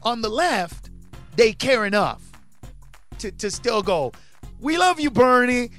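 A stand-up comedian in his forties, born in Honduras, talking animatedly as an interview guest and rising to shouts when he acts out his bits. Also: very high-pitched, big pitch swings, takes it slowly, soft background music. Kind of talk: casual interview chat.

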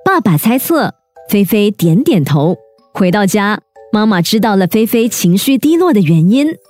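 A woman's voice: mid-range voice, moderate pace. A woman hosting a children's story podcast, telling tales expressively and speaking directly to young listeners. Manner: animated, addresses children directly with questions. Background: children's music.

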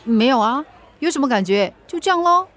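An actress with a Singaporean accent playing a flustered, defensive, forgetful mother in a naturalistic radio drama, with expressive colloquial protests. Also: high voice, fairly quick. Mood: evasive, puzzled.

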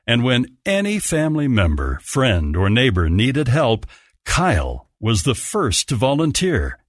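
A man's voice: mid-range voice, wildly swooping pitch, measured pace, dry recording, clean studio sound. A man narrating a scripted holiday story as a storyteller. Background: music.

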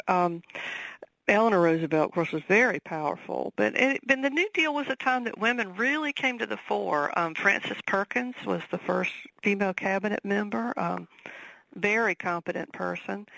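A woman with a Southern accent talks through her answers as a radio guest, pausing often with fillers and restarting her sentences. Her voice has a gravelly edge, recorded dry and close. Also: mid-range voice, a bit bright, no echo, poor audio. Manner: thoughtful, many fillers and restarts. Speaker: author guest. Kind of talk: radio interview.

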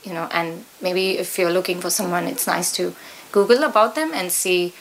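A woman's voice: fairly low pitch, normal speed, fairly bright tone, poor audio. A middle-aged woman with an Indian accent, talking conversationally as an interview guest. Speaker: guest, yoga teacher.

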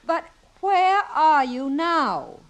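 An actress playing an exasperated wife who questions pointedly in a 1950s radio comedy. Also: female voice, high voice, big pitch swings, extremely slow, exaggerated voice, rough recording. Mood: pointed, impatient.

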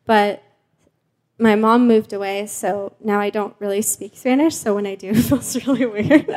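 A woman's voice: mid-range voice, fairly even intonation, moderate pace, darkish tone. A woman from Mexico hosting a live panel in a casual, chatty, giggly and informal way. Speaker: host and journalist.

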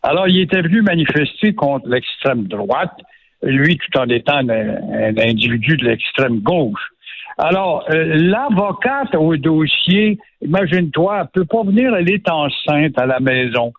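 A man's voice: a little high, expressive, unhurried. A man with a Quebec French accent giving sarcastic, indignant commentary on a radio show. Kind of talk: opinionated radio chat. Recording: phone-line radio audio.

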